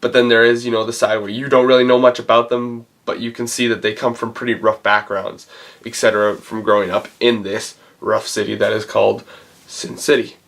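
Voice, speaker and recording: A man with a cold gives a casual, rambling film review, halting as he searches for words. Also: fairly low pitch, flat delivery, gravelly, fairly bright tone. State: has a cold. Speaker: movie reviewer and channel host.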